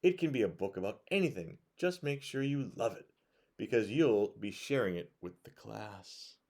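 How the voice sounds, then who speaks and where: A man voicing a brisk, encouraging woman schoolteacher in a storybook, giving instructions with emphasis. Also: on the low side, expressive, a little mellow.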